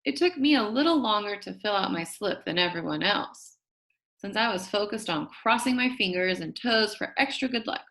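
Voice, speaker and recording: A woman's voice: medium pitch, animated, moderate pace, a bit bright. A woman reads a children's story aloud, telling it expressively and acting out the characters' lines. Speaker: audiobook reader.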